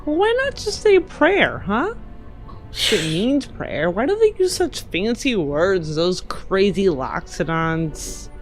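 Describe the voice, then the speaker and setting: An inquisitive space traveller, played by a woman, talks casually in an animated, mid-pitched voice. Soft music runs behind the sci-fi roleplay. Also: slow. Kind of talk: improvised in-character tabletop roleplay. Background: background music.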